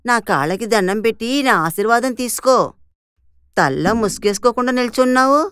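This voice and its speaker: A very clear, resonant, fairly high female voice in a dry recording, playing a domineering mother-in-law acted by a woman. She lectures sternly in cartoon moral-story style, insisting on tradition. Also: lively intonation, fairly quick, fairly bright tone, no echo. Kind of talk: animated moral-story voice acting.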